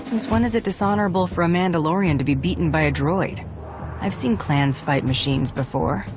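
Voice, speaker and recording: A woman's voice: on the low side, brisk, natural voice. A woman playing a tough, confident bounty hunter in a video game, talking bluntly and dryly. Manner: blunt, dry, assured. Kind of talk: video game cutscene acting. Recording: voice over background music.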